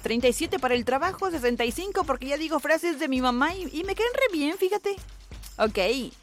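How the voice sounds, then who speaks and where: A woman in her forties hosts a radio call-in show, her voice sitting a touch high. She reads listener messages in a chatty, animated way, laughing and exclaiming as she goes. Soft music plays faintly behind her in the studio. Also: fairly quick. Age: 40s. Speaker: radio host. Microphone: studio microphone.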